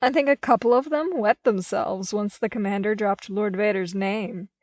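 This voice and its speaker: A woman's voice: medium pitch, moderate pace, a little mellow, no echo, lightly acted. A woman voicing a cheerful, chatty young male trooper in an audiobook, jovial and casual. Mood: amused. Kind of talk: audiobook character acting.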